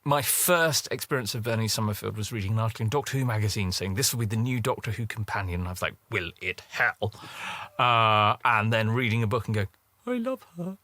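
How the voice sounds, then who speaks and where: A man's voice: fairly low pitch, animated, fairly quick, bright, forceful tone, no echo. A man talking casually in a documentary interview, effusive and acting out his own reactions.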